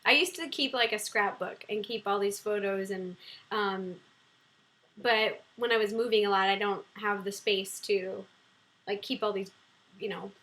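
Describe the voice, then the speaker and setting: A woman's voice: mid-range voice, steady tone, normal speed, a bit bright. A young woman hosting a casual livestream from home. She is bubbly, laughs often and hums to herself while reading viewer questions. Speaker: livestream host. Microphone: computer webcam mic.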